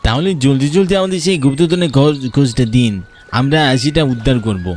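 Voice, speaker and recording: A man playing an impatient, nervy young village boy in a cartoon story, talking in a quick, eager way. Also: medium pitch, darkish tone, soft background music, lightly acted.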